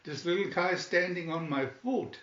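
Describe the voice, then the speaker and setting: A man's voice: high-pitched, moderate pace, fairly bright tone, voice pushed up. A man voicing a naive, idealistic and earnest young man in a storybook reading. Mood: flustered. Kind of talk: storybook read-aloud. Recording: dull, roomy home recording.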